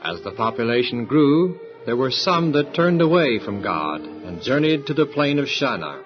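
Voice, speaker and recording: A man's voice: medium pitch, sing-song, moderate pace. A man narrating a Bible audio drama in a formal, solemn storytelling style. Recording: dull lo-fi recording with music. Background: dramatic music.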